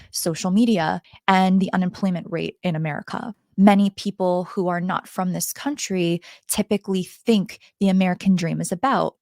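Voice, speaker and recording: Hoarse woman reviewing an album. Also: medium pitch, dry recording, clean studio sound. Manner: chatty, talks straight to viewers. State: hoarse, raspy voice from the cold. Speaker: music reviewer. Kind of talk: casual album review vlog. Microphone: handheld microphone.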